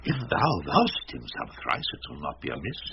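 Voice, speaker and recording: An actor playing a boisterous, scheming old drunken knight in classic radio Shakespeare style, hearty, mocking and theatrically emphatic. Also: male voice, a bass voice, wildly swooping pitch, normal speed, creaky, exaggerated voice. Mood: conspiratorial goading. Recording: dull, noisy old radio broadcast.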